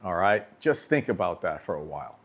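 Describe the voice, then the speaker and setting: A man teaching drumming in a relaxed, conversational way, asking rhetorical questions and checking in with his listeners. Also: deep voice, a little fast, very noisy. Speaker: drum teacher. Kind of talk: instructional talk to camera.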